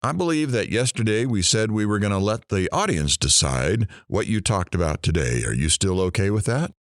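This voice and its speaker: An actor playing a sardonic morning radio host in an audio drama, with dry, theatrical sarcasm. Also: male voice, on the low side, animated, moderate pace, no room sound. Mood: wry, brisk. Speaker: radio talk show host.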